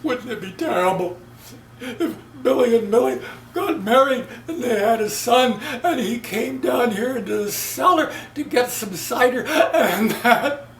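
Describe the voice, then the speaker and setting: A woman telling a folk tale, voicing a tearful, foolish mother who draws out her words in a high wail, recorded in a roomy space at a distance. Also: expressive. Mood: tearful dread. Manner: drawn-out, wailing, laughing through lines. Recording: roomy, distant mic, faint hum.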